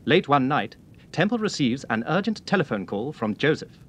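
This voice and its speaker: Animated British male radio serial announcer. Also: mid-range voice, moderate pace, slight background noise. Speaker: announcer, narrator. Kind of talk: scripted recap narration of radio serial. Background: faint music.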